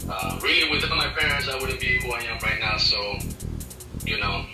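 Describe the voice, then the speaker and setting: A man's voice: mid-range voice, expressive, normal speed, creaky. A man around thirty from Ecuador, giving casual, slangy interview answers over a video call. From Ecuador, moved to the US at twelve. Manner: informal, slangy address. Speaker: interview guest, music A&R executive. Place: small indoor office. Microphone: video call, laptop distance. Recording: roomy, distant video-call audio with music behind.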